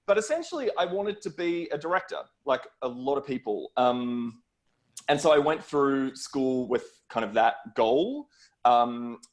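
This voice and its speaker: A middle-aged man with an Australian accent, speaking as a guest film producer in a conversational storytelling style on a video call. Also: mid-range voice.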